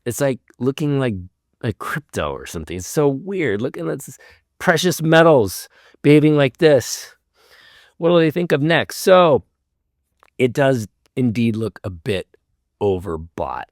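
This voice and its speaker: A man with a hoarse voice from a cold, hosting a casual show where he talks through trading charts, thinking aloud in an easygoing way. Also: mid-range voice, no echo, clean studio sound. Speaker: host.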